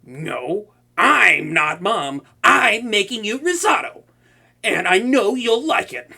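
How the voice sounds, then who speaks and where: A man's voice: high-pitched, very animated, takes it slowly, gravelly, sharp tone, slightly rough, exaggerated voice. A young man voicing a proud, tsundere male supervillain who cooks for a captive hero, stammering and easily flustered in a comedic audio roleplay. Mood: wistful. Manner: stammering, flustered, talks to an unseen listener.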